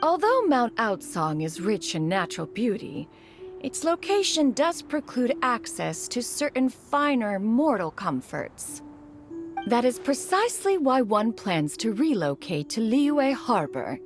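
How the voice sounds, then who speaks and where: A woman's voice: fairly high pitch, sing-song, measured pace, faint music behind. A woman playing a proud, caring immortal elder in a video game, speaking in a formal, haughty manner. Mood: haughty, indignant. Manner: formal, haughty, refers to herself as "one".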